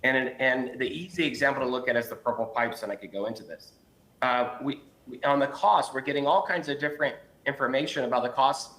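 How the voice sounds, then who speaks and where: A man's voice: mid-range voice, a bit bright, poor audio. A man on a city council arguing against a project at a public meeting, earnest and insistent with frequent restarts, losing his voice.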